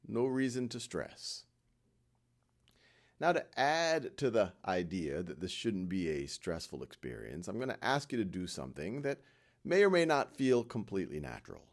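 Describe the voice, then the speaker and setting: A man leading a guided meditation in a gentle, reassuring manner, leaving frequent pauses between short instructions. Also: mid-range voice.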